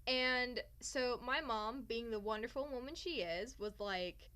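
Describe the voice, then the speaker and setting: A young woman with a high, very bright voice chats casually through a personal story. She is theatrical and sarcastic, poking fun at herself as she goes. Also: measured pace, clean tone. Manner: theatrical, sarcastic, self-mocking. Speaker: vlogger telling a personal story. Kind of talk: casual storytime chat to camera.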